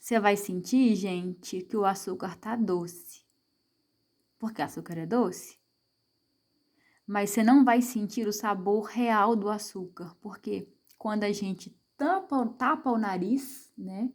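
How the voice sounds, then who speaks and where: A woman's voice: mid-range voice, darkish tone. A middle-aged Brazilian woman teaching a science lesson to children, chatty and full of tag questions, asking and answering her own questions. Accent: Brazilian Portuguese. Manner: frequent tag questions to the viewer.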